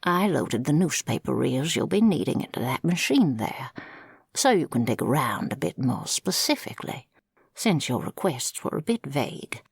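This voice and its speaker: A woman playing a kindly older female librarian in an audio roleplay, with a husky, creaky voice and gently formal, folksy manner. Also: a bass voice, sing-song, normal speed, dry recording, studio quality, exaggerated voice. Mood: helpful, gentle. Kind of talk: scripted audio roleplay acting.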